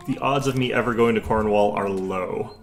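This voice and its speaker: Gravelly-voiced streamer chatting with viewers. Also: male voice, lowish voice, normal speed, faint music behind. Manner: rambling, many fillers and restarts. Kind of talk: casual chat with stream viewers.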